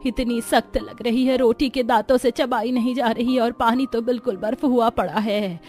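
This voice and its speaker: Woman playing old cartoon mother-in-law, blunt, grumbling, fairly high pitch, village household matriarch. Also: steady tone, moderate pace, a little mellow, soft background music, natural voice. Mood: weary complaint. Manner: scolding, blunt. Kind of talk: Hindi cartoon story acting.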